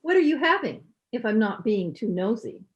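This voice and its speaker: A woman's voice: a little high, very animated, moderate pace. A woman playing a nosy, haughty older mother-in-law in an old-time radio sitcom, with a fussy, sing-song, condescending manner. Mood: prying, condescending. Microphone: home microphone over video call. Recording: dull, lo-fi internet call recording.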